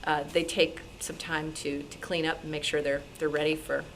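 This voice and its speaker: A woman's voice: sits low, little pitch movement, normal speed, fairly bright tone. A woman casually explaining things as a panelist at a club meeting. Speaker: radio show team member, panelist. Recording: clearly noisy live room.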